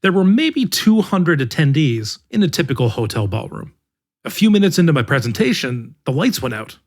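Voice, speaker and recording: A man narrating an article in the first person as the regular reader of a podcast, with a measured, expressive delivery. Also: a little high. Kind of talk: scripted narration.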